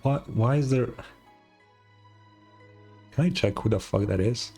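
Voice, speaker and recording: A streamer with a husky, medium-pitched voice chats casually over a video game. The commentary is informal and wry, with faint game music behind it. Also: measured pace, mellow. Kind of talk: casual live gameplay commentary.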